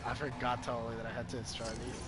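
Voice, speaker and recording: A man's voice: mid-range voice, monotone, fast. A man giving casual live gameplay commentary, rambling and thinking aloud as he plays. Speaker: game streamer. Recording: loud game music behind the voice. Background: loud game music.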